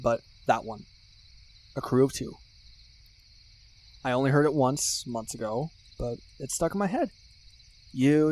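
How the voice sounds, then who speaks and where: A young man playing a teasing, earnest, geeky best friend in a casual ASMR roleplay, rambling conversationally with musing pauses. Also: mid-range voice, darkish tone, natural voice. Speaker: voice actor in an audio roleplay.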